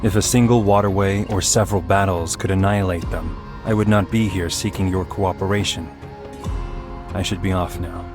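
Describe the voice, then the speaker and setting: A man voicing a grave, ominous hunter warrior in a video game, speaking in a solemn, measured, formal way. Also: sits low, steady tone, over music, natural voice. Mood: grave, stern. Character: grave, ominous male abyssal hunter warrior, distrustful of land dwellers. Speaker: voice actor for a video game character. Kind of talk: scripted video game character lines.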